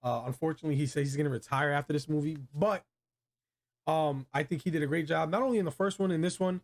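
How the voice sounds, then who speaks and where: Higher-pitched man hosting a casual review. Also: quick pace. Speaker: video review host. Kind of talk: casual movie review chat.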